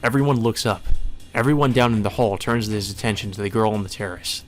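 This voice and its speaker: A man's voice: fairly low pitch, brisk. A man reading visual novel narration aloud as a let's play host. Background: faint music.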